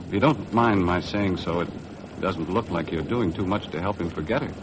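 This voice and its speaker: Through clearly noisy vintage radio sound comes a man acting a laconic American skipper in an old adventure serial. His voice is low, and his delivery is dry and understated. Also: moderate pace, close to an everyday voice. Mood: frank, sympathetic. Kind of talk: 1950s American radio drama acting and narration.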